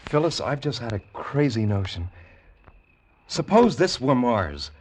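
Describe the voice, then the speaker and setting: Earnest space captain, suspicious, intense, lively melodic range, vintage radio drama. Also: male voice, medium pitch, a little slow, breathy edge. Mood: brooding, suspicious. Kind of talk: 1950s radio drama acting.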